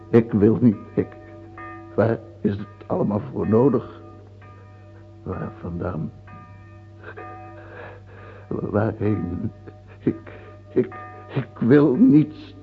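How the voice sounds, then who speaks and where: A dying king in a radio play, brooding and full of dread. His voice is low and full of creak, and he speaks haltingly and extremely slowly. The recording is tinny and narrow. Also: full character voice. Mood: anguished, terrified. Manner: halting, broken, brooding delivery. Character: dying king, anguished, terrified of death, raving. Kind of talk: theatrical radio drama monologue.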